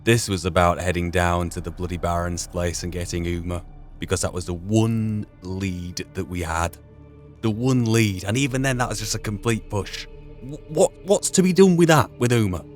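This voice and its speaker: Low-voiced man musing over game music. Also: moderate pace. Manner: musing, philosophical, poses rhetorical questions. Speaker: gamer narrating a roleplay playthrough. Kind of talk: reflective solo game commentary, musing in and out of character. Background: video game music.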